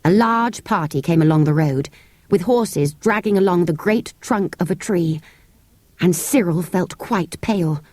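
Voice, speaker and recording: A woman with a British accent narrates a children's story in an expressive, measured storytelling manner. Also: fairly low pitch, no echo. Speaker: audiobook narrator. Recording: close, dry cassette tape recording.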